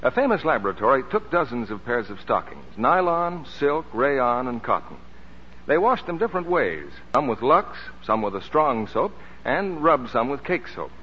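Reverberant, muffled old radio sound carries a male announcer. His pitch swings in a lively way as he gives a scripted, emphatic 1940s sales pitch. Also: moderate pace. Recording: dull, reverberant, faintly noisy old radio recording.

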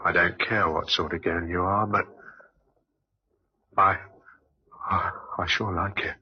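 A man acting in an old radio drama as a young crook, with halting, hesitant speech. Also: sits low, fairly even intonation, breathy edge, heavily acted. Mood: smitten. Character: young male crook, shy lover turned coldly menacing. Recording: muffled old radio recording, faint noise.

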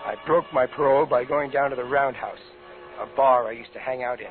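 A man's voice: mid-range voice, fairly quick, close to an everyday voice. A tough ex-con played by a man talks in slangy, hard-boiled crime-drama style. The sound is a narrow, old 1950s radio broadcast, with music playing behind him. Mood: wry, confident. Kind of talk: 1950s radio crime drama acting, first-person narration.

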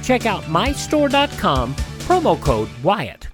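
A man hosting a radio show, announcing and reading ads in a folksy selling manner with emphatic pauses. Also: high voice, wildly swooping pitch, music in the background. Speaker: radio host. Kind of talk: scripted radio announcing and host-read ads.

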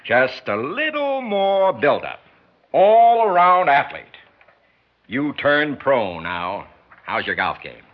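Slick, lively sports promoter, vintage radio. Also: male voice, medium pitch. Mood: pushy, upbeat. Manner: brisk, persuasive, folksy salesman. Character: slick, pushy male sports manager and promoter. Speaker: radio drama actor. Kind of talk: 1960s radio acting. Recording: muffled, faintly noisy 1960s radio recording.

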